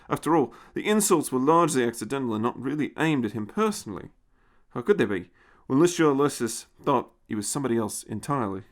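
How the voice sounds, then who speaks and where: A man's voice: mid-range voice, lively intonation, fairly quick. A man reading a scripted science fiction story as its narrator.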